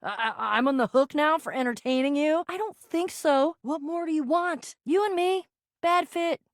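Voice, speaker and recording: High, excitable, bragging adventurer. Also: female voice, moderate pace, no echo, clean studio sound. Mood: dismissive, irritated. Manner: stammering, theatrical, swinging from gushing to sulky. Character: excitable, bragging adventurer, a living household object, earnest and dramatic. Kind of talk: video game character voice acting.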